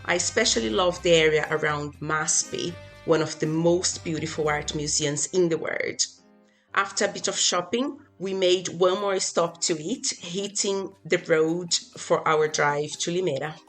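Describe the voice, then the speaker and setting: A woman's voice: fairly low pitch, a little slow, a bit bright, faint music behind. A woman from Brazil, a native Portuguese speaker, reading her part as a guest in a scripted podcast conversation.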